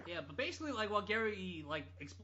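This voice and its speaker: A man with a bright, forceful voice rambles through jokey anecdotes as a podcast storyteller. His pitch jumps around with lots of animation. The audio is a bit dull and lo-fi. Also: big pitch swings, fast. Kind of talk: casual anecdote telling.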